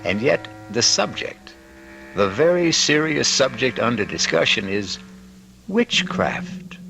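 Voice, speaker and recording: A man hosting and narrating a radio mystery theatrically, with suspenseful pauses and dramatic emphasis. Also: medium pitch, sing-song, gravelly, pushed and bright, slight background noise. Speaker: host and narrator. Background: music.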